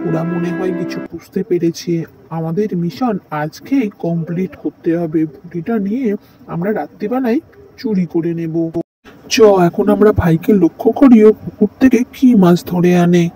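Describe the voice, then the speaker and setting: A man voicing a greedy, scheming brother in an animated folktale, speaking in a conspiratorial, dramatic way. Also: high-pitched, measured pace, soft background music, poor audio.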